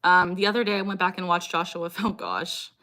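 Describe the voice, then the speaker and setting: A woman's voice: lowish voice, fairly even intonation, brisk, sharp tone. A young adult woman hosting a casual livestream Q&A, speaking frankly and informally, with sudden repeated vocal tics breaking into her talk. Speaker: livestream host, content creator. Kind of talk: casual livestream Q&A chat, answering viewer comments.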